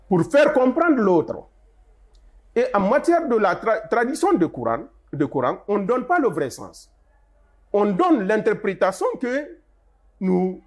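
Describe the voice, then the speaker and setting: A man's voice: high-pitched, darkish tone. An older man, a translator, answering interview questions in an emphatic, explanatory way, with stressed rises and frequent restarts. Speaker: guest, Arabic translator.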